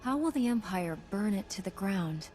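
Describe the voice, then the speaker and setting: An actress playing a calm, self-assured warrior heroine in a video game, speaking in a composed, measured way. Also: female voice, mid-range voice, close to an everyday voice.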